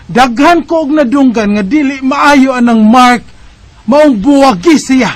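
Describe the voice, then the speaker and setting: A woman acting as a meddling family confidante in a Bisaya radio drama. The voice sits extremely high. She speaks with a Filipino Bisaya accent and dramatic delivery. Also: unhurried, heavy background noise. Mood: stern.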